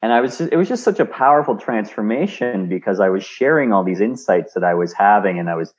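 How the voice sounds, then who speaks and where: Canadian man, interview guest, lowish voice, keeps repeating words, muffled remote call sound. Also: lively intonation, fast talker, slightly rough, faint music behind. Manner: frequent word repeats and restarts. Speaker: guest, speaker and engineer. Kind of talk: remote interview, reflective storytelling.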